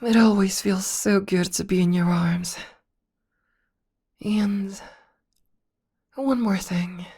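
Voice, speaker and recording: Woman acting a breathy, slow, tender young girlfriend. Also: medium pitch, a little mellow. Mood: tender, relieved. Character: young college woman restored to full size, affectionate. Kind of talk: soft ASMR audio roleplay.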